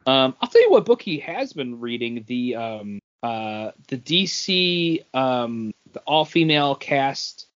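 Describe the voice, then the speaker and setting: A man co-hosting a podcast, chatting casually about comics in a halting way as he searches for words. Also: medium pitch, very animated, fairly bright tone. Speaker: podcast co-host. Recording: dull, lo-fi sound.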